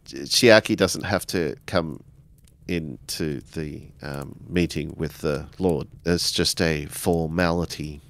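Low smooth guy playing a tabletop rpg, halting, feeling for words. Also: a bit bright. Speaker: tabletop role-playing player. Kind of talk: narrating a character's actions in tabletop role-play.

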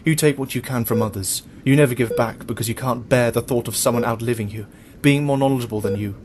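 A man's voice: mid-range voice, quick pace, lightly acted. An actor in an audio drama playing a frightened but defiant captive man, earnest and distressed.

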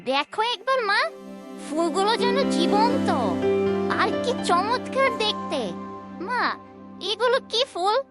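An excited little village girl from a fairytale cartoon , voiced by a woman. Her voice is very high, eager and wheedling, full of curiosity. Loud music plays behind her. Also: a little slow, long pauses. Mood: delighted, eager.

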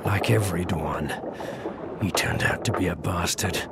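An actor playing a proud, wounded elf rebel in a fantasy video game, bitter, gravelly and weak with pain. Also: male voice, low voice, moderate pace. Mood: bitter, grieving. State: weak, in pain, breathy gasps. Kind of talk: dramatic video game acting. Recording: loud ambient noise behind voice.

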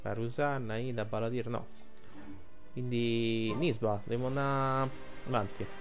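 A man's voice: medium pitch, steady tone, a little slow, faint music behind. An Italian man giving casual gameplay commentary, rambling and thinking aloud as he plays. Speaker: gaming streamer.

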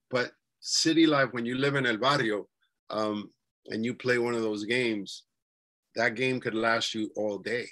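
A man's voice: mid-range voice, fairly bright tone. A man in his forties with a New York accent, recalling his childhood in a rambling, casual way, full of fillers and restarts, speaking on a video call from a bedroom at home. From New York City. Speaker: guest. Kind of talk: casual interview chat. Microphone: webcam on a video call.

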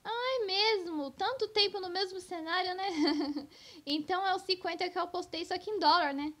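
An actress voices a sceptical young cowgirl in a cartoon skit. Her high voice is teasing, with a Brazilian accent. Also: normal speed, a bit bright. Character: teasing, sceptical young woman in the Wild West. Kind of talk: cartoon comedy sketch acting.

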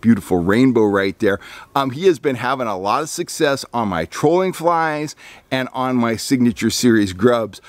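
Man, fifties, fishing guide, casual, dry sound, mid pitch, ums and restarts. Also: no room sound. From California. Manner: rambling, frequent ums and restarts, lively on recommendations. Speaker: fishing guide and channel host. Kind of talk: casual fishing report to camera. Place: outdoor deck among pine trees.

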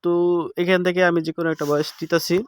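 A man narrates a casual how-to in a high, smooth voice. He talks fast and instructs step by step, often checking that the listener follows. The recording is dry and close. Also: little pitch movement, dry recording. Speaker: app tutorial host.

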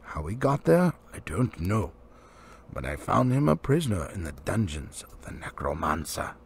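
Storybook wizard, read by a man: old male, authoritative, testy, grim, wide swings in pitch, slight background noise. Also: sing-song, moderate pace, gravelly, heavily acted. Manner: emphatic, grim.

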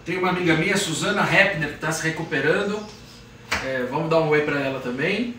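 A high-voiced man relays viewer comments during a live cooking stream, speaking briskly from across the room. He sounds distant in a very echoey space with clear background noise. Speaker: show producer reading viewer comments.

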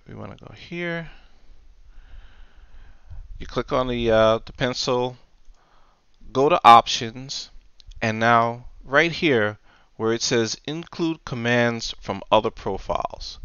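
A man giving a casual software tutorial, explaining things step by step, close on the mic in a voice-over recording. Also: medium pitch, lively intonation, takes it slowly, long pauses, clear, resonant tone, no room sound, dull audio. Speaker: tutorial presenter.

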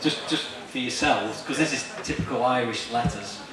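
A middle-aged man giving an informal, halting introduction to an audience. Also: mid-range voice. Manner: hesitant, halting. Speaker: event presenter introducing a reader. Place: large marquee tent with seated audience. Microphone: handheld mic through speakers, filmed from afar. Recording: echoey PA, distant, crowd noise.

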